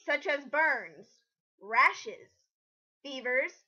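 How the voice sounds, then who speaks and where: Woman, very high voice, incredulous, nitpicky, casual mocking commentary, dull lo-fi microphone. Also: expressive, very slow, bright, forceful tone. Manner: incredulous, pedantic about grammar. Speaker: writer co-hosting a mock dramatic reading. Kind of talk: mocking read-aloud commentary, casual chat.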